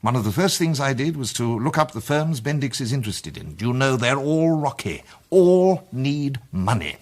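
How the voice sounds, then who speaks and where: A man playing a confident amateur detective in a British radio drama, setting out his case in a deliberate, emphatic, theatrical way. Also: mid-range voice, big pitch swings. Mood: confident, explanatory.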